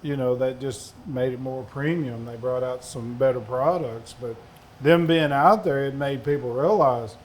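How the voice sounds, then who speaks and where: Southern man in panel chat, easygoing stories at a medium pitch, faint hum behind. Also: unhurried, rough recording. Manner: folksy, rambling anecdotes. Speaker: distiller on a panel. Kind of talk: casual storytelling on a panel.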